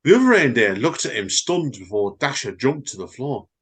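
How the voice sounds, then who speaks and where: A man's voice: medium pitch, big pitch swings, moderate pace, fairly bright tone, husky. A man co-hosting a podcast, chatting casually and reading stories. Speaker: podcast co-host. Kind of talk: casual chat and story reading.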